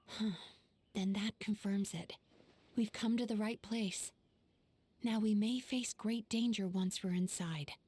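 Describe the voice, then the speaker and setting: A woman voicing a gentle, composed young healer in an anime game, with a soft, breathy voice. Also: mid-range voice, steady tone, slow pace. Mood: serious, hushed.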